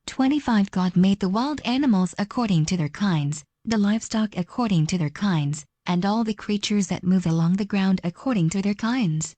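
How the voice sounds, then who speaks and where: A woman with a mellow, dark-toned voice reads scripture aloud. Her delivery is measured and formal, and the recording is very dry with no room sound. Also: medium pitch, dry recording. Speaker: reader.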